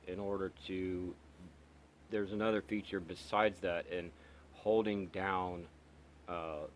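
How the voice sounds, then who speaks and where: A man casually walking through the features of a radio he is reviewing, explaining step by step with many pauses and restarts. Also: sits low, a little hiss or hum. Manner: halting, lots of ums and restarts, explains step by step. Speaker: radio hobbyist reviewing gear. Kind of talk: casual product walkthrough.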